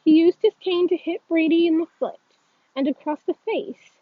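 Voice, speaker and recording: Woman acting as a stern school principal, high-voiced. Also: moderate pace, no echo, narrow, tinny audio. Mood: firm, formal. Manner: firm, authoritative. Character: stern, formal female school principal, reproachful.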